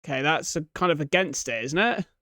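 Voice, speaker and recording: A man hosting a reaction video, commenting casually and thinking aloud in a halting way. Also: on the high side, expressive, pushed and bright, dry recording, clean studio sound.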